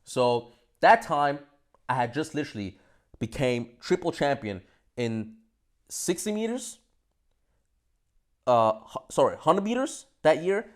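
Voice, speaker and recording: A young man from Austria talking straight to camera in a casual, animated monologue, swearing a lot and swinging between loud bursts and soft asides. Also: a little high, takes it slowly, pauses often. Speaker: vlogger.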